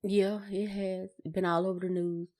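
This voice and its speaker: A woman with a mid-pitched voice chats casually as a podcast co-host. She is laconic, replying in short, low-key phrases. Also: level tone, normal speed, a little mellow. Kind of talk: casual chat.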